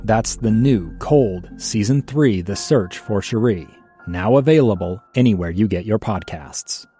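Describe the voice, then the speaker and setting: A man's voice: on the low side, lively intonation, unhurried, warm tone, slightly rough, faint music behind. A man reading a scripted, dramatic promo for a podcast season. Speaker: podcast ad narrator.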